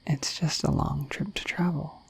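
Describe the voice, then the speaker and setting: A woman voicing a shy, affectionate, dorky dragon in a bashful, hesitant breathy whisper for an ASMR roleplay. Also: deep voice, steady tone, moderate pace, heavily acted. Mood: bashful, apologetic. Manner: bashful, hesitant, apologetic, rambling.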